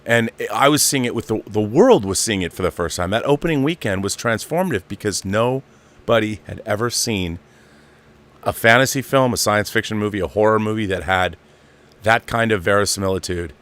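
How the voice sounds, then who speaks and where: A man's voice: on the low side, expressive, moderate pace, fairly bright tone. A man in his fifties hosting an online show, talking off the cuff in a casual, emphatic fan monologue and repeating phrases for stress. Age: 50s.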